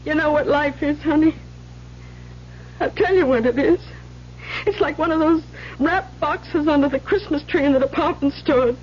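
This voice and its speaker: High-voiced, bitter, weepy barfly woman. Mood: weepy despair. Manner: self-pitying, slangy, blunt. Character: bitter, weepy, hard-drinking woman stuck with bad men. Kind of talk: old-time radio drama acting. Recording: noisy, muffled vintage radio recording.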